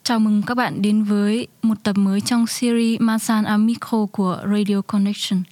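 A woman's voice: medium pitch, steady tone, normal speed, a little mellow, no room sound. Woman presenter, dry sound. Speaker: radio magazine co-host. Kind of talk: scripted radio presentation.

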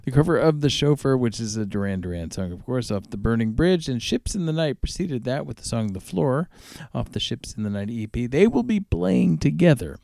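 A male radio DJ talks through the tracks he has played on his music show. His voice is mellow with some gravelly fry and a lively, moving pitch. He goes haltingly, stretching out titles, in a close, dry sound. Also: dry recording.

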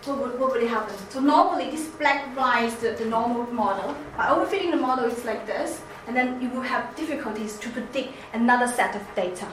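A woman with a Chinese accent giving a seminar presentation, halting with many restarts and fillers. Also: on the high side. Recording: echoey room, faint background noise.

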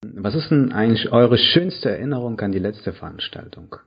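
A husky-voiced male podcast host asks short, friendly questions. His pitch moves around lively. The recording is muffled and low-fi. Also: normal speed. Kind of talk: interviewer asking questions. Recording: dull low-fi sound.

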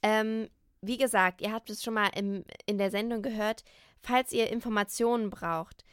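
Young woman radio host, chatty, smooth clear voice, dry studio sound. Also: mid-range voice, steady tone, normal speed, dry recording. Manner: friendly, chatty, addresses listeners directly. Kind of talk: casual radio outro.

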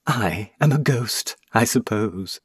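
A man's voice: on the low side, expressive, takes it slowly, breathy edge, dry recording, clean studio sound, heavily acted. A man reading an audiobook, voicing the ghost of a young woman who was burned as a witch, tender and pleading. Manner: pleading, tender, rising to anguish.

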